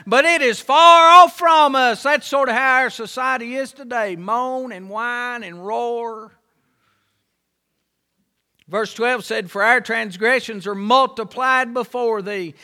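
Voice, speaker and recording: A man's voice: extremely high pitch, bright, forceful tone, smooth. A man preaching a sermon, with an impassioned, repetitive cadence that rises and falls. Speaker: pastor.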